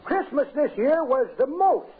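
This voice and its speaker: A high-voiced, folksy old codger. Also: male voice, expressive, unhurried. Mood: jolly, folksy. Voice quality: high, cracked old-timer voice. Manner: folksy, slangy drawling jokes. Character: folksy, jolly, wisecracking old man. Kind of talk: 1950s radio comedy acting. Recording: tinny narrow-band old radio recording.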